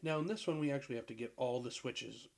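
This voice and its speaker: Sick deep-voiced man casually narrating gameplay. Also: fast, slight background noise. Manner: relaxed, rambling, clears throat and laughs at himself. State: sick, hoarse from a cold. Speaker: gaming video creator.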